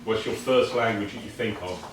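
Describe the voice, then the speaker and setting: A man's voice: fairly low pitch, brisk. A man hosting a casual chat with student debaters, asking questions and encouraging them. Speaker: debate host. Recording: roomy, distant mic, background noise.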